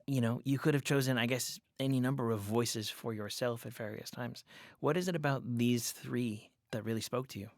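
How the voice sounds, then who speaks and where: A man's voice: medium pitch, dry recording, clean studio sound. A man hosting an interview in a conversational, reflective way, choosing words carefully. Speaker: podcast interview host. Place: studio, in person.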